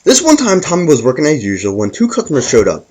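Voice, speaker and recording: A man's voice: on the high side, wildly swooping pitch, fast talker, darkish tone, husky. A man hosting a scripted comic story, narrating it with stumbles and repeated words as he reads. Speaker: host and storyteller.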